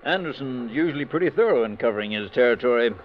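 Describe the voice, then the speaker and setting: An actor playing a businesslike office supervisor in a 1940s radio drama. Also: male voice, mid-range voice, lively intonation, a little hiss or hum, close to an everyday voice. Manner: businesslike, brisk. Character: brisk office supervisor Martin. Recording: dull old radio recording.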